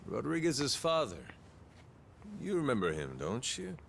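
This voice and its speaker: An actor playing a spirited aging gangster turned pirate captain in a video game, with slangy, folksy, drawling talk. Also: male voice, medium pitch, wildly swooping pitch, a little slow, creaky, a bit bright, a little hiss or hum, exaggerated voice. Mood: reflective. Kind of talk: video game cutscene acting.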